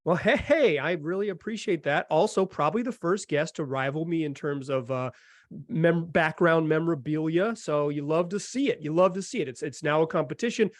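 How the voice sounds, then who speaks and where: A man's voice: on the high side, fairly quick, dry recording, studio quality. An American man hosting a basketball podcast in a conversational style. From North Carolina, United States. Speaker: sports podcast host.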